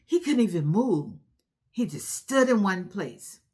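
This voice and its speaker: A woman hosts a read-aloud for young children at a slow, deliberate pace. She recaps the story in an instructive, encouraging manner. She often checks in with her little listeners by asking them questions. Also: medium pitch, very animated, dark tone, slightly rough.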